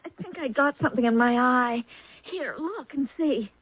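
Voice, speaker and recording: A woman's voice: high voice, lively intonation, moderate pace, husky, dry recording. A woman playing a charming, scheming young poisoner in a 1970s radio drama, speaking sweetly and politely. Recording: dry, dull old radio broadcast.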